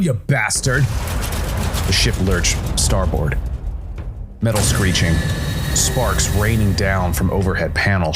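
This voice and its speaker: A man narrating scripted noir science fiction as the host of a storytelling show. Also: sits low.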